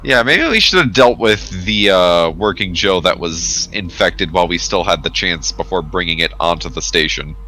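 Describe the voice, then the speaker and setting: A man's voice: low-pitched, expressive, normal speed, few pauses, pushed and bright, slight background noise. A man playing a tabletop game, talking casually in a questioning, cautioning way. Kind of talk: casual in-character tabletop debate. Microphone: online voice call.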